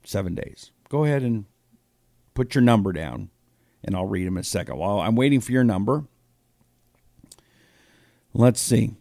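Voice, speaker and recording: A man's voice: fairly low pitch, lively intonation, moderate pace, gravelly, pauses often, dark tone, no room sound, clean studio sound. A man in his fifties with an American accent, hosting a casual live talk show in a rambling, conversational way full of rhetorical questions. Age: 50s. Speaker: host of a political talk show. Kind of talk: casual live commentary, reading listener comments.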